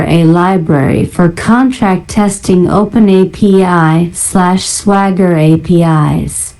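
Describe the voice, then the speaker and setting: A woman narrator doing a deliberate ASMR-style read-out of listed items in a flat manner. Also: lowish voice, poor audio. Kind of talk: ASMR reading of a list of software libraries.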